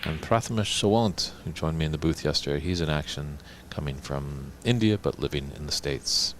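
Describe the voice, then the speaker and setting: A man's voice: very deep voice, normal speed, a little hiss or hum. A man commentating a snooker tournament in a chatty, informative way.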